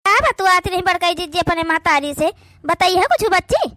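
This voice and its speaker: Woman voicing a high-pitched, wary Awadhi village housewife. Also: expressive, moderate pace, bright, forceful tone, no room sound, clean studio sound, full character voice. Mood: questioning. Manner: complaining, plain-spoken. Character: wary young village housewife, indignant younger sister-in-law. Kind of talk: village cartoon dialogue.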